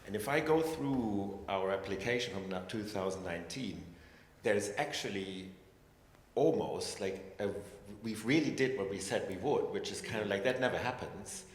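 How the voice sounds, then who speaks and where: A man's voice: on the low side, moderate pace. A man speaking as a scientist on a panel, reflective and hesitant, with many restarts. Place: large echoey hall. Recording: echoey hall with long reverb, faint ambience.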